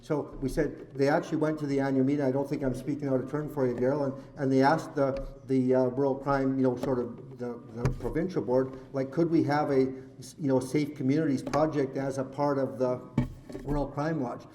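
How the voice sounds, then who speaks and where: A man's voice: medium pitch, fairly even intonation, fairly quick, mellow, slight background noise. A man chairing a community meeting, talking earnestly and at length. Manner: earnest, talkative, rambling.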